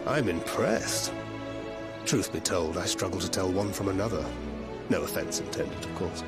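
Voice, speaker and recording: An actor playing a wry, courteous young scholar-adventurer in a video game, measured and articulate, gently teasing. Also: male voice, sits low, close to an everyday voice. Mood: amused, courteous. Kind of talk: scripted video game acting. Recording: music behind the voice.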